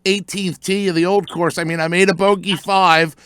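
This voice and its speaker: A man's voice: high voice, level tone, normal speed, a bit bright, clear, resonant tone, no echo. An older American man hosting a sports radio show, chatting casually and telling stories with many fillers. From United States.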